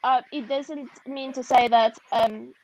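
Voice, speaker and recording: A woman's voice: high voice, steady tone, unhurried, darkish tone, highs cut off. Russian-accented woman, candid and laughing. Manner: reflective, laughs often, candid. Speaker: author guest. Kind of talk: video call interview.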